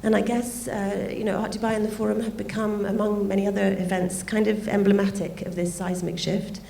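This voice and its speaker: A woman with a croaky, gravelly voice opens an evening forum with a welcome speech. Her tone is mellow and unhurried, with light asides. She speaks into a microphone in a roomy hall with faint background noise. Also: mid-range voice, flat delivery. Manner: thanks people warmly, with light asides. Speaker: art fair director opening a forum. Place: event venue.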